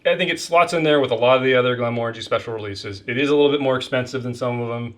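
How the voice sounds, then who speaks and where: A man's voice: mid-range voice, racing. A man co-hosting a casual spirits review podcast, upbeat as he steers the talk and tallies the scores. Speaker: podcast co-host.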